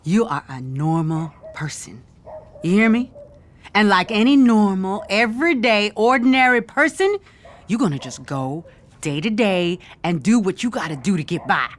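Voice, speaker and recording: A woman's voice: medium pitch, expressive, normal speed, natural voice. A woman playing an anxious, placating middle-aged American mother in an audio drama, pleading and hesitant as she keeps the peace. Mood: soothing, firm. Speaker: actress. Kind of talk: naturalistic audio drama acting.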